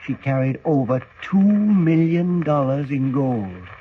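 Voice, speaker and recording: A man's voice: mid-range voice, lively intonation, slow. An older scientist from a 1940s radio drama. He speaks with dramatic, idealistic fervour and grave emphasis. The vintage recording is very tinny, with some hiss. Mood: earnest, emphatic. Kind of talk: 1940s radio adventure serial acting.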